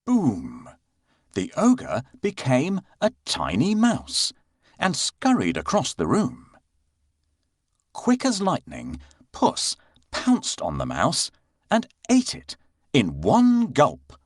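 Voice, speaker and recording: A man narrating a scripted children's bedtime fairy tale with expressive, dramatic delivery. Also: fairly high pitch, slow, no echo, studio quality. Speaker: storyteller narrator.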